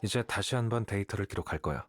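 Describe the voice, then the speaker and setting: An actor playing an aloof, calculating young male scholar in a Korean video game, with a detached, measured delivery. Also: lowish voice, slight background noise, lightly acted. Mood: matter-of-fact. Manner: detached, matter-of-fact, measured.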